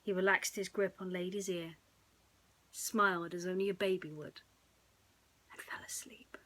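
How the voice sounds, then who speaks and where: A woman narrating a bedtime story in a soothing, hushed manner that often drops close to a whisper. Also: fairly low pitch, flat delivery, normal speed.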